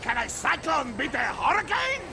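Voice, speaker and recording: A man playing a flamboyant, boastful luchador in a video game, bombastic and gravelly, with loud noise behind. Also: extremely high pitch, huge pitch swings, moderate pace, heavily acted. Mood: boastful, excited. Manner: bombastic, shouted. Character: flamboyant boastful male Mexican luchador, excitable showman.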